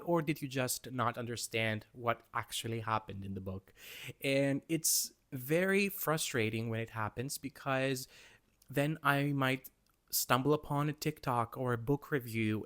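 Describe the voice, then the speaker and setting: A man's voice: mid-range voice, a bit bright. Candid Greek-accented young man vlogging. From Greece. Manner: candid, self-doubting, rambling. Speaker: booktuber. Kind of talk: confessional vlog monologue.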